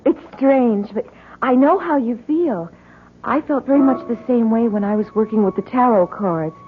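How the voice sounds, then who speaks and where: An actress playing a supportive, curious young college student in a 1970s radio drama, calm and inquisitive. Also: female voice, on the high side, normal speed, natural voice. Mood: curious, reassuring. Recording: muffled, faintly noisy vintage radio recording.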